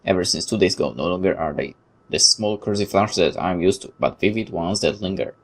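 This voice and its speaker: A man reading horror game text aloud as a video host, theatrical and expressive, with informal reactions. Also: low voice, brisk. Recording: noticeable background noise.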